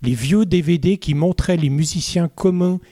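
Brief: musician guest, man, Vaud Swiss accent, mellow, dry close mic, rambling with lots of fillers. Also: fairly high pitch, normal speed, no room sound. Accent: Vaud Swiss French. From Switzerland. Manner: hesitant, many "euh" fillers, chatty storytelling. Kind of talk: casual interview chat.

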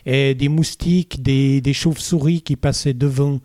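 Brief: musician guest, man, Vaud Swiss accent, mellow, dry close mic, rambling with lots of fillers. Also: mid-range voice, fairly even intonation, moderate pace, no echo. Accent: Vaud Swiss French. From Switzerland. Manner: hesitant, many "euh" fillers, chatty storytelling. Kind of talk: casual interview chat.

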